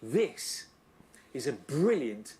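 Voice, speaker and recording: A man's voice: medium pitch, sing-song, drawn-out words, mellow. A man presenting a documentary on location, explaining with emphatic enthusiasm.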